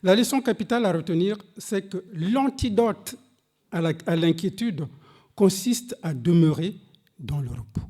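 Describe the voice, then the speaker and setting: A man preaching a sermon in French, which is not his first language. He speaks with emphatic, swooping delivery, reads scripture aloud and addresses the congregation directly. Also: mellow. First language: a language other than French. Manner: slow, emphatic preaching with dramatic pitch swings, reading scripture aloud. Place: church service.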